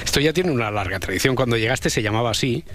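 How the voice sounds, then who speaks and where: Animated man hosting radio. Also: medium pitch, quick pace, soft background music. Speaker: radio show host. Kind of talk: casual morning radio banter. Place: radio studio.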